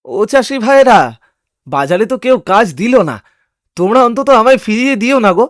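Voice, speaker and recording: A man playing a poor, honest, simple-hearted cart puller in an animated moral story, speaking in an earnest, plaintive, folksy way. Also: extremely high pitch, fairly quick, no room sound, studio quality. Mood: pleading.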